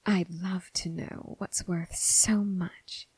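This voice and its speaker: A woman playing a seductive, menacing crossroads demon who toys with her prey, in a breathy, whispered ASMR roleplay with slow, teasing, formal phrasing. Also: fairly low pitch, steady tone, a little mellow, no room sound. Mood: coolly amused.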